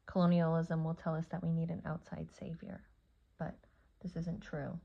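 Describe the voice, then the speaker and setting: A woman with a low, gravelly voice leads a guided meditation. She speaks soothingly in short phrases, leaving long pauses between them. The recording sounds slightly dull and muffled. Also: completely flat delivery, mellow. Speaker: yoga nidra teacher and meditation guide.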